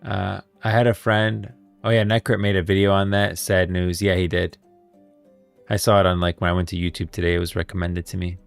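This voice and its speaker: A man hosting a casual gaming livestream, chatting informally, thinking aloud in a halting way and repeating phrases. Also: low-pitched, flat delivery, creaky, faint music behind. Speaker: streamer, host of a gaming lore stream. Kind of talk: casual livestream chat, reading posts aloud.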